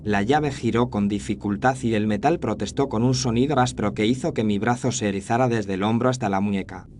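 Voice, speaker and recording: A man's voice: on the low side, a little mellow. A man reading a scripted first-person horror story as its narrator. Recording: faint noise and ambience behind narration.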